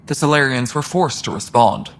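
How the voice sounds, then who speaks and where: An actor playing a thoughtful, fair-minded alien engineer in a video game, speaking in an even-tempered, reflective way. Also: male voice, medium pitch, moderate pace, lightly acted. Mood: solemn, reflective. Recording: faint station background noise.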